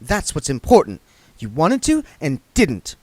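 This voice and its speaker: A man voicing a flustered, bossy young woman who talks in a brisk, urgent way. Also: a little high, wildly swooping pitch, darkish tone, no room sound, voice pushed up, heavily acted. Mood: coaxing.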